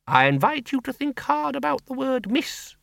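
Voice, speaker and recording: A man voicing a sharp-tongued older spinster who runs a farm, talking bluntly in country speech. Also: extremely high pitch, sing-song, gravelly, no echo, studio quality, voice pushed up, full character voice. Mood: tart. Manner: brisk, blunt country talk. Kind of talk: audiobook character voice.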